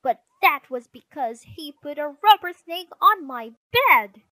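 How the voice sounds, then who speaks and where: A woman's voice: high-pitched, expressive, measured pace, pushed and bright, no room sound, voice pushed up, full character voice. A woman voicing an indignant young girl bear cub in a storybook, whiny and protesting. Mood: accusing.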